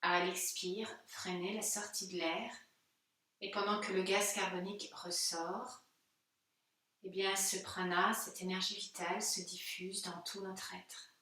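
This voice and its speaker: A woman, a yoga teacher giving calm, unhurried and soothing guided relaxation instructions. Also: mid-range voice. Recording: very echoey room, distant microphone.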